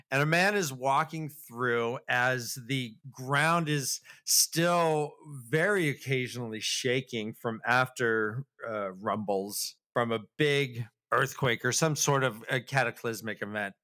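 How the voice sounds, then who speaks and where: Man with a bright, forceful voice. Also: mid-range voice. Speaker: podcast co-host. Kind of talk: casual comedic retelling of a fantasy book.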